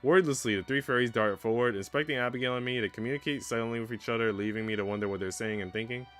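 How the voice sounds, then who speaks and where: A man's voice: mid-range voice, racing, a bit bright, faint music behind. A man streaming a visual novel, reading its narration aloud and adding casual joking asides.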